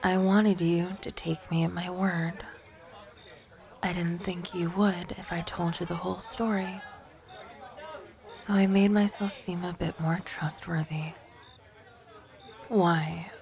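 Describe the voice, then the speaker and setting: A woman's voice: on the low side, flat delivery, slow pace, vocal fry, long pauses, a little mellow. A woman acting as an awkward, nervous young female ghost in an ASMR roleplay, stammering, flustered and defensive. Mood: guilty, subdued.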